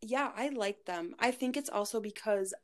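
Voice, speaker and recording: A woman's voice: on the high side, normal speed, a little hiss or hum. A woman co-hosting a podcast in bubbly, gushing casual chat, sometimes groping for words. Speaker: podcast co-host.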